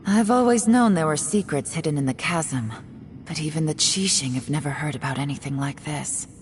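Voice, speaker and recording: A woman voicing a secretive, composed young woman agent in a video game, speaking in a measured, guarded way with heavy sighs. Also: low-pitched, slight background noise, natural voice. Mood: grave.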